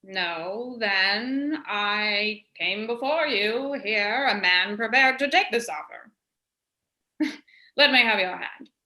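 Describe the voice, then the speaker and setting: Woman as a proud, bright-voiced rebel space captain. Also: mid-range voice, animated, slow, echoey room, rough recording. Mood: proud, measured. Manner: stately, declamatory. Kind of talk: theatrical Shakespearean radio play acting.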